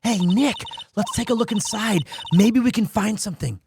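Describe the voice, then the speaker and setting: An eager, cheeky teenage girl sidekick from a video game, acted out by a man, pitched up and playful. She sounds hungry and excitable, over clearly noticeable background noise. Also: normal speed. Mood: excited. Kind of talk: video game dialogue read aloud.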